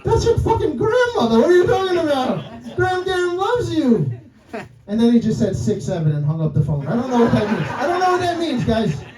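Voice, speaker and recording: Brash New York comic, high voice. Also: male voice, dark tone. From New York City. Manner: brash, profane, keeps asking the crowd "right?" and "guys". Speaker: stand-up comedian. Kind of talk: stand-up set. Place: comedy club stage. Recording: roomy club sound from a distance. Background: audience laughter.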